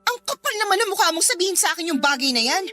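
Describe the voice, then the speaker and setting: An actress playing a responsible, exasperated elder sister in a Filipino radio drama, reproachful and insistent. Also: female voice, extremely high pitch, big pitch swings, racing, pushed and bright, soft background music, full character voice. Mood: indignant.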